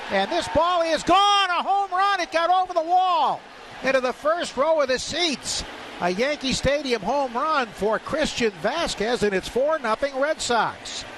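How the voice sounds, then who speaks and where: A man doing live radio play-by-play commentary of a baseball game from a stadium booth. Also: very high voice. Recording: noisy outdoor stadium ambience.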